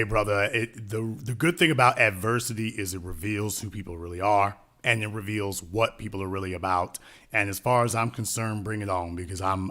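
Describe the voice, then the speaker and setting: Blunt, bright-voiced male call-in host. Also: on the low side. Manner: blunt, emphatic, slangy. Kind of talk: live call-in radio talk.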